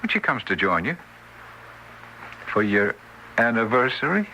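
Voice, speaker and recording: A bumbling, kindly ghost sent as a guide in an old radio drama. He is meek and halting, speaking slowly in a piercing voice that swings up and down. A faint hiss lies over the vintage recording. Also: mid-range voice, sing-song, sharp tone, heavily acted, low-quality recording. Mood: gentle, resolute. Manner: stammering, flustered, deferential.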